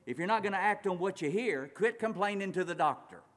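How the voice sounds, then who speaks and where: A man preaching a sermon, swinging between loud urgent passages and quiet slow ones, drawing out words for emphasis. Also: high voice, lively intonation. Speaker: pastor. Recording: long reverb of a large hall.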